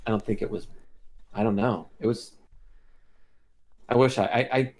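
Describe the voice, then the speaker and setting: A man's voice: fairly low pitch, fairly even intonation, moderate pace, a little mellow. A middle-aged man co-hosting a podcast in casual chat, with a teasing, blunt, salty way of talking. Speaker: podcast co-host.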